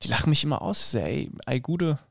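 A young man from Rüsselsheim with a Hessian accent, a drama student chatting casually as a guest, relaxed, laughing often and filling pauses with "ähm". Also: fairly high pitch, gravelly, no room sound, clean studio sound. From Rüsselsheim, Germany. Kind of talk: casual interview chat.

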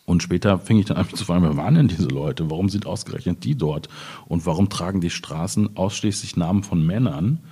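A man in middle age from the Ruhr area in Germany, speaking as a poet in a reflective literary talk, thoughtful and halting as he searches for words. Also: sits low, mellow, slightly rough. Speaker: poet discussing his work.

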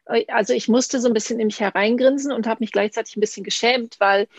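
A woman's voice: a little high, steady tone, fast, husky, no room sound. A woman from Münsterland co-hosting a podcast, telling reflective personal stories in a casual chat with many fillers. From Münsterland, Germany. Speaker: podcast co-host. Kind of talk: casual conversational interview.

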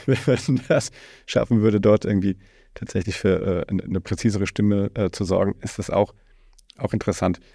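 Low, gravelly, mellow male podcast host. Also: steady tone, moderate pace, no room sound, clean studio sound. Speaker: podcast host interviewing a guest. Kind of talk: casual conversational podcast interview.

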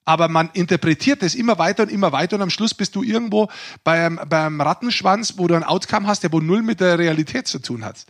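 Higher-voiced man, earnest podcaster. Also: quick pace. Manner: earnest, philosophizing, searching for words. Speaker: podcast co-host. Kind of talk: casual reflective podcast talk.

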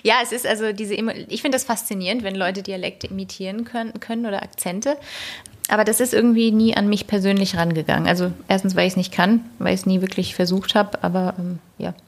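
A woman linguist answering interview questions in a thoughtful, hedging way, with soft laughs between answers. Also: mid-range voice, fairly even intonation, fast talker. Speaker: linguist guest. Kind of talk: conversational interview.

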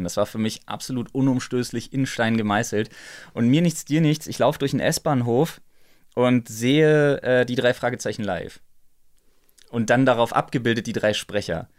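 A man telling a personal story in casual chat, emphatic and repeating phrases for effect. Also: medium pitch. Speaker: guest.

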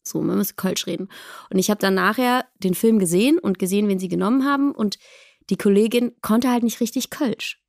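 A woman, chatting as a guest, lively and direct. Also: mid-range voice, fairly quick, vocal fry, dry recording, studio quality. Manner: lively, direct, laughs often. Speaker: guest actress. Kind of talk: casual chat.

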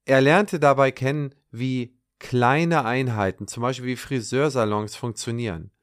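A man hosting a podcast, reading a scripted introduction in a measured way and then asking questions in casual conversation. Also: medium pitch. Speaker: podcast host.

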